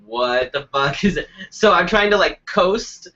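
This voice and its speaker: A stuffed-up, congested man co-hosting a casual live web show, telling a story in a profane, animated way. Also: sits high, a little slow. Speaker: co-host. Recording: dull lo-fi web stream.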